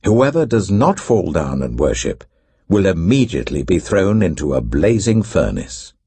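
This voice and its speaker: A man's voice: low-pitched, very animated, normal speed, dark tone, husky, dry recording, exaggerated voice. A British man voicing a royal herald proclaiming commands in a formal, emphatic, declamatory way for an audiobook. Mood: commanding.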